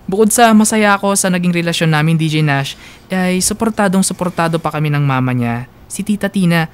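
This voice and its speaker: A smooth, resonant young woman played by an actress narrates in the first person for a radio drama. She sounds sincere, fond and confiding. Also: fairly low pitch, brisk, lightly acted. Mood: fond, reflective. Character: young woman fondly retelling her love story.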